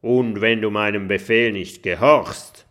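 Ein Mann spricht einen tyrannischen Piratenkapitän, der herrisch brüllt. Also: male voice, on the low side, fairly even intonation, measured pace, a bit bright. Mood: threatening. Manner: bellowing, bossy. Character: loud tyrannical male pirate captain.

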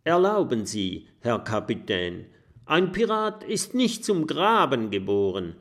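Ein erfahrener Seeräuber, gesprochen von einem Mann, der mürrisch vor sich hin brummt. Die Stimme bleibt nah an der Erzählstimme, ruhig und bewegt. Hörbuchfigur. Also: male voice, mid-range voice, very animated, slow, fairly bright tone, pitched above the performer's own voice, heavily acted. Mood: polite. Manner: grumbling. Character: experienced grumbling male pirate.

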